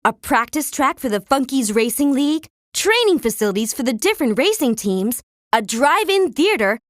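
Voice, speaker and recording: An actress playing a perky, eager news correspondent giving a promotional on-the-scene report in a cartoonish video game. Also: female voice, high voice, very animated, normal speed, dry recording, clean studio sound. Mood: bright, promotional.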